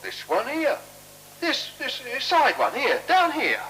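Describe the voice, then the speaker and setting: An actor playing a bumbling, stubborn male hotel keeper in a 1970s radio play, muttering and halting, defensive, on an old radio recording. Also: extremely high pitch, wildly swooping pitch, sharp tone, exaggerated voice, poor audio. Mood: flustered. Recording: hissy, humming 1976 radio recording.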